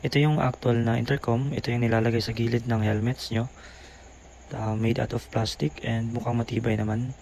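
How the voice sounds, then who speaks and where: A Filipino man narrates a casual review in a subdued, gravelly voice with fairly even intonation. He keeps his volume down, and a faint noise sits behind him. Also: medium pitch, a little fast, poor audio. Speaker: product reviewer. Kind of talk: casual voice-over review mixing Tagalog and English. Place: boarding house room, voice-over.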